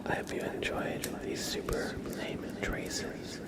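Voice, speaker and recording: An ASMR livestream host of unclear sex speaking gently and politely to viewers in a breathy, creaky whisper, close to the mic. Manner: slow, gentle, polite, thanking and welcoming viewers. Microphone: close sensitive ASMR microphone.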